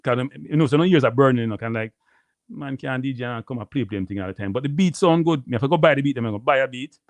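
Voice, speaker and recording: A Jamaican man hosting a live stream, rambling casually to his viewers and repeating himself, with a deep voice. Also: racing, no echo. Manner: rambling, repeats phrases, addresses viewers as people. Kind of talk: casual livestream chat.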